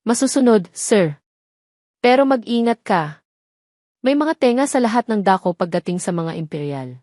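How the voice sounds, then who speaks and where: A woman's voice: mid-range voice, expressive, moderate pace, darkish tone, no room sound, studio quality, lightly acted. A woman playing a loyal male investigator who speaks with familiar respect and caution in an audio drama. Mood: cautious.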